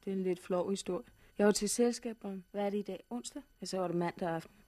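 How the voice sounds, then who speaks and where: A woman's voice: medium pitch, warm tone, natural voice. An actress playing a frightened, polite young woman who has survived a catastrophe, in a 1960s Danish radio drama. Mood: shaken, confiding. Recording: noisy vintage 1960s radio recording.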